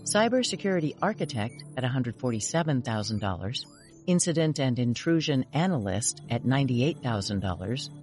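A woman's voice: rumbling low, sing-song, moderate pace, a little mellow. A woman reading a scripted podcast segment as an announcer. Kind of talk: scripted narration. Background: music.

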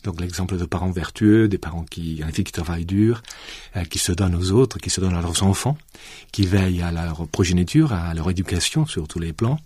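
A deep-voiced man with a southern French accent talks reflectively as a radio guest. His voice is husky and close to the mic in a dry studio. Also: a little fast, warm tone, no room sound. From southern France. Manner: reflective, many hein and absolument. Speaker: guest, student centre director. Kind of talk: radio interview.

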